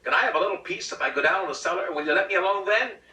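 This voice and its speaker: A man's voice: high voice, lively intonation, rapid-fire, sharp tone, slight background noise, voice pushed up, exaggerated voice. A man voicing a stubborn, scornful wife in a comic short-story reading, curt, dismissive and sarcastic. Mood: exasperated. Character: stubborn, scornful, nagging wife who refuses to budge. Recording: roomy, distant rehearsal recording.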